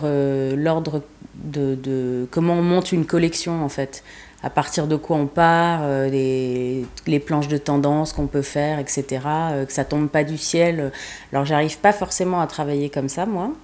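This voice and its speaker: A woman's voice: sits low, steady tone, a little slow, a little mellow. A young woman from eastern France, a fashion designer being interviewed, who speaks casually with many hesitations and fillers.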